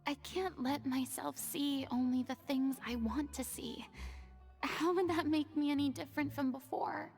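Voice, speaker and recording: Remorseful young woman, game character, soft half-whispered voice, gravelly, high-pitched. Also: steady tone, normal speed, faint music behind. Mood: wistful. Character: remorseful young woman, former goddess pretender.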